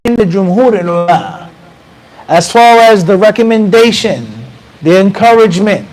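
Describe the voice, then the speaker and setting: Brief: American man, 30s, lively mosque lecturer, high voice, handheld mic, faint hiss. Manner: animated, conversational, quotes Arabic passages. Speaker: mufti teaching a class. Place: small mosque room with bookshelves. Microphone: handheld mic close to mouth.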